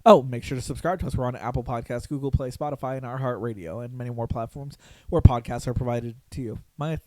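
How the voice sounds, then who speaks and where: A hoarse man hosting a podcast in loose, rambling casual chat, full of fillers and swearing. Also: mid-range voice, fast talker, dry recording. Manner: rambling, loose and profane, with lots of fillers and laughs. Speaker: podcast host.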